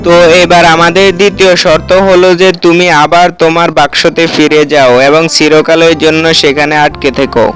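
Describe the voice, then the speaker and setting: A man's voice: a little high, little pitch movement, fairly quick, few pauses, over music, close to an everyday voice, poor audio. A man playing a confident, protective older brother, a clever boy in a children's fairy-tale cartoon, speaking reassuringly. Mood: firm.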